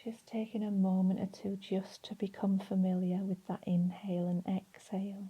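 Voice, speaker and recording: Hushed, breathy woman guiding meditation. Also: mid-range voice, flat delivery, poor audio. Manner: soft, breathy, soothing delivery with long pauses. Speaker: meditation and relaxation instructor. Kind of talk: guided relaxation meditation.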